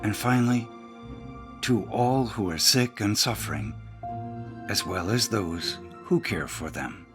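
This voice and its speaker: A man's voice: lowish voice, measured pace, over music. A man, a priest, reading a scripted audiobook narration.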